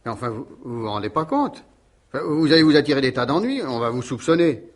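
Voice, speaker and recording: An actor in a French radio mystery play, playing a courteous, hesitant, well-meaning village gendarme who gets easily flustered. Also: male voice, medium pitch, lively intonation, fast, lightly acted. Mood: flustered, concerned.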